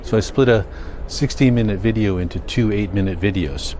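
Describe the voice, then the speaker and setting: An older man with a Canadian accent, chatting casually in a rambling vlog, thinking aloud in a halting, self-correcting way. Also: on the low side, warm tone, a little hiss or hum. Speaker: vlogger.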